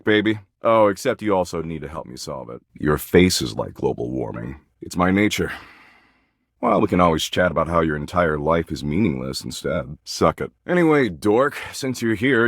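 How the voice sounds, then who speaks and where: An actor playing a smug, crude, sarcastic embodiment of existential dread in a dating game, snarky, dismissive and boastful. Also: male voice, low voice, fairly bright tone, no room sound, clean studio sound, heavily acted. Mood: bored, cocky. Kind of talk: dating sim character dialogue.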